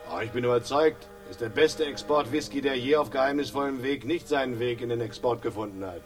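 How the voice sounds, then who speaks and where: A man's voice: medium pitch, fairly quick, lightly acted. An actor playing a grimly ironic police inspector in a radio crime drama, mock-jovial and hesitant, repeating himself as he needles. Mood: mock-friendly. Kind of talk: 1960s German radio crime drama acting.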